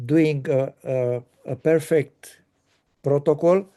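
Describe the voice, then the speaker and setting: Guy hosting a chat, slow and hesitant, soft mellow tone. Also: fairly high pitch, fairly even intonation. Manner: halting, pausing often with fillers. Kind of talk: casual conversation.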